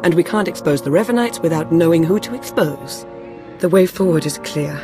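A woman playing a composed Sith intelligence adviser in a video game, grave, formal and measured. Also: fairly low pitch, animated, over music, lightly acted. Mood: grave resolve. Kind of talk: dramatic video game acting.

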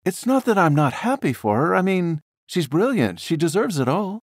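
A man voicing a wry, relaxed middle-aged wife in an audiobook, soft and teasing with a light wistfulness. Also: sits high, normal speed, no echo, clean studio sound, voice pushed up, exaggerated voice. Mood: wistful.

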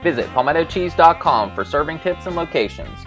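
A man reading a short promotional voice-over as announcer in a loud, even delivery. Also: on the high side, brisk, loud music behind the voice.

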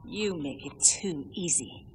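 A woman's voice: low-pitched, lively intonation, slow pace, heavy background noise. A woman playing a cool, loyal warrior bodyguard in a video game, composed, confident and wry. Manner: composed, formal. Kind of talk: video game cutscene acting. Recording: long reverb over loud music.

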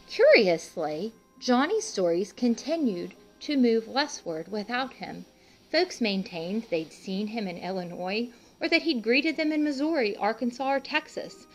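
A woman reading a picture book aloud to young children, pausing to explain things simply and speaking to them directly as a teacher would. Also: mid-range voice, poor audio. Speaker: children's read-aloud host and art teacher.

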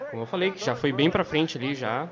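A man's voice: on the high side, expressive, quick pace. A man in his twenties, co-host, giving short laid-back answers in casual chat. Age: 20s. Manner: brief, laid-back replies. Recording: dull sound with loud crowd behind. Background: crowd noise from fight footage.

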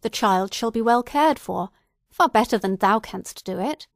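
A woman reading the part of a kindly old male minister who speaks gently. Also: fairly high pitch, lively intonation, unhurried, gravelly, no room sound, studio quality. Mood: gentle.

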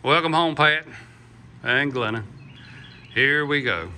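An older man talks casually as he films a tour on his phone, folksy and full of "uh"s. His voice is bright and forward. A faint hiss of background noise sits under him. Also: mid-range voice, takes it slowly. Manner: folksy, chatty, with many "uh" fillers and "yeehaw". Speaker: vlogger touring his house build. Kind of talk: casual walkthrough narration. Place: unfinished wooden house frame, indoors and on outdoor steps. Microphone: phone camera held by the speaker.

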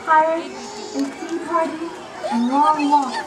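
A woman's voice: sits high, takes it slowly, over loud music, over a noisy crowd. A woman voicing a devoted, hopeful little toy rabbit in a children's puppet show, earnest and childlike. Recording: distant, noisy puppet theater recording.

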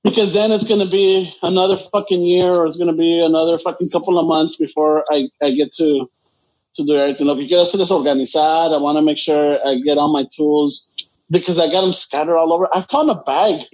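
A man's voice: fairly high pitch, quick pace, a little mellow, muffled recording. A man with a Chicano Los Angeles accent, co-hosting a casual podcast chat, rambling, swearing and switching between English and Spanish. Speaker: podcast co-host.

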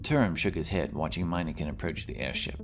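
A man's voice: a bass voice, fairly quick, soft background music. A man narrating a scripted fantasy adventure story as the narrator of an audio drama.

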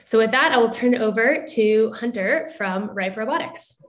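A woman's voice: fairly high pitch, expressive, moderate pace. A middle-aged American woman from the United States giving an upbeat, articulate prepared presentation as moderator on a video call. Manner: upbeat and articulate, occasional fillers. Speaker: moderator introducing startups.

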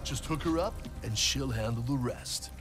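A man's voice: mid-range voice, moderate pace, natural voice. A man playing a cocky, rebellious alien resistance operative in a video game, brash and slangy. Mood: determined. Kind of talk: video game cutscene acting. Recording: loud music behind the voice.

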